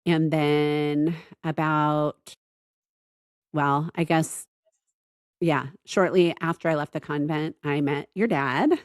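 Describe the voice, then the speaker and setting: A woman in her fifties with a low, deep voice, chatting casually on a family podcast. She tells stories loosely, backing up to fix details. The sound is dry and close. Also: fairly even intonation, slow pace, no room sound. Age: 50s. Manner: rambling storytelling with self-corrections. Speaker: podcast co-host, mother.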